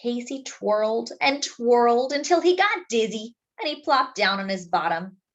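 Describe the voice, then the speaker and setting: A woman reading a picture book aloud to young children, expressive and deliberate, drawing out key words. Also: fairly high pitch, muffled recording. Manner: deliberate, with drawn-out emphasis for young listeners. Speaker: children's storyteller.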